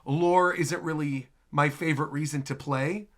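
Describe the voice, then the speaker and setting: A man's voice: fairly high pitch, steady tone, measured pace, fairly bright tone. A man hosting a reaction stream, giving casual live commentary and rambling as he thinks aloud.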